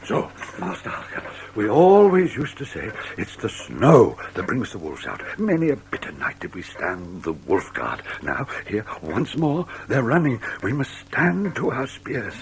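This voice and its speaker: An actor playing a mysterious, courteous old Punch and Judy showman with halting, archaic, theatrical speech in an audio drama. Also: mid-range voice, wildly swooping pitch, a little hiss or hum. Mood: urgent, fearful.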